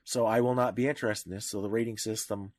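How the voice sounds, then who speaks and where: A man's voice: lowish voice, steady tone, fast, a little mellow, dry recording, studio quality. A man co-hosting a podcast in casual discussion, talking in a blunt, irreverent way. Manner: blunt, irreverent, freely profane. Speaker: podcast co-host.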